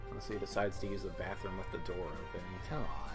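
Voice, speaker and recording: A man's voice: deep voice, quick pace, over loud music. A man hosting a gameplay video, giving casual, offhand and joking commentary.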